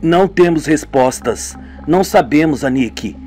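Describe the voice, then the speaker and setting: A man voicing a shaken young man who takes charge, with urgent, hurried speech, in a homemade radio drama. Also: on the high side, expressive, dark tone, faint music behind, natural voice. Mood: alarmed urgent. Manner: urgent, hurried when giving orders.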